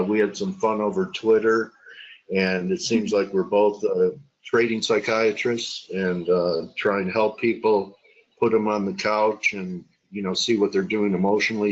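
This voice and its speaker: A man hosts a trading webinar interview, rambling warmly into his questions with plenty of uhs and you-knows. His voice is fairly low. The audio is dull and muffled, like an online call.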